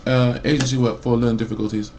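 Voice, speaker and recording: Gravelly middle-aged man rambling on. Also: mid-range voice, level tone, quick pace, noisy background. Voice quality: loud voice. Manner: rambling with many fillers and restarts. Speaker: mental health support worker. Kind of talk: rambling personal rant.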